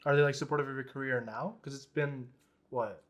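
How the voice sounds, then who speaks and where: A young man hosting an interview podcast, easygoing and quick, asking questions and nodding along. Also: mid-range voice, fairly even intonation, a bit bright. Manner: easygoing, quick, agreeable backchannels. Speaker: podcast host.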